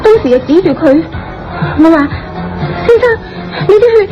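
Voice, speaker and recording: Earnest young woman, high-pitched. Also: animated, normal speed. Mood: agitated. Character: young female film star, polite, earnest, easily frightened. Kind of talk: Cantonese radio drama acting. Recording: dull lo-fi audio with background music.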